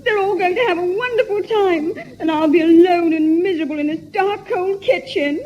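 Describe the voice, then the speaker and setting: A woman's voice: very high-pitched, moderate pace, a little mellow, low-quality recording. A woman playing a miserable, put-upon young girl with melodramatic wailing in a 1960s radio pantomime. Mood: tearful, miserable.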